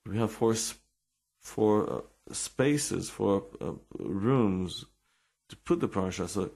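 Deep, gravelly Israeli-accented man, speaking slowly. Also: lively intonation, a little mellow. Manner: halting, repeats words while searching for English. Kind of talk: informal religious talk with personal stories.

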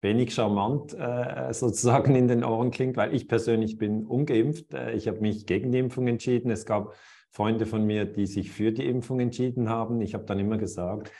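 Ein Mann mit Schweizer Akzent, der als Moderator ein Gespräch führt und oft mit Füllwörtern und Neuansätzen zögert. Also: male voice, medium pitch, fairly even intonation, moderate pace, warm tone. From Switzerland. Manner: hesitant, many fillers and restarts. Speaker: host. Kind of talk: interview over video call.

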